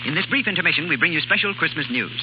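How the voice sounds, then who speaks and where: A man's voice: fairly high pitch, expressive, rapid-fire. A man announcing and reading scripted advertising in 1930s radio style. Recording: noisy, muffled 1930s radio broadcast.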